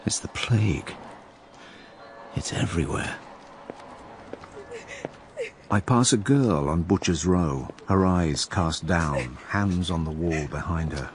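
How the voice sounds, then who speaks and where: A man's voice: low-pitched, noisy background. An actor playing a wry, cynical journal writer who muses aloud as he narrates a radio drama. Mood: bleak. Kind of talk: dramatic first-person narration.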